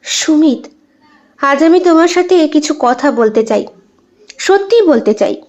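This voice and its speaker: Casting: woman to play remorseful pleading wife for a story, high-pitched, shaky, earnest; lo-fi recording. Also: animated, unhurried, a little mellow. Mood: hesitant, guilty. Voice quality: trembling, tearful voice. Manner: hesitant, apologetic, earnest. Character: guilt-ridden young wife confessing an affair, pleading, tearful. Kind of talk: dramatized audio short story.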